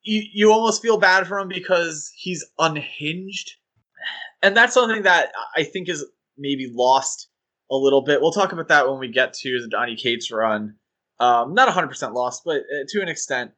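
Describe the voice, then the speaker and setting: A man co-hosting a podcast, talking in a casual, animated, rambling way with fillers and restarts. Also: on the high side, moderate pace. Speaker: podcast co-host.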